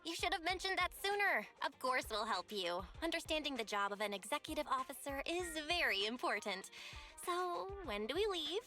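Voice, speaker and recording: An actress playing a perky, inquisitive young woman in a video game, with an expressive, questioning manner. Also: high-pitched, moderate pace, soft background music, exaggerated voice. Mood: eager. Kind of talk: scripted video game voice acting.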